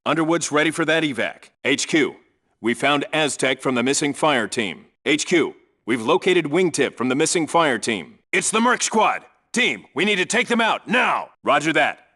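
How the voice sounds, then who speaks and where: Bright, forceful special forces squad leader. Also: male voice, fairly high pitch, very animated, moderate pace, full character voice. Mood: urgent, fired up. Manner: authoritative, clipped, businesslike. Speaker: video game voice actor. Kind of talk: military video game voice lines, clipped radio reports and orders.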